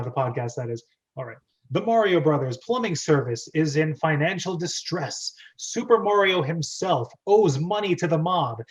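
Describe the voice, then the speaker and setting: Higher-pitched man hosting a script read-through. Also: low-quality recording. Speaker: host and narrator of a script read-through show. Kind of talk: chatty hosting and dramatic script reading.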